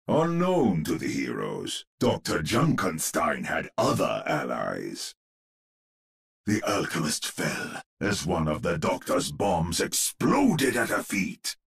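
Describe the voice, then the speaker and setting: A man playing a grim, gravelly storyteller narrating a gothic horror tale in an ominous, theatrical manner for a game. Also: low-pitched, wildly swooping pitch, exaggerated voice. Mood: dramatic. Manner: ominous, dramatic, deliberate storyteller.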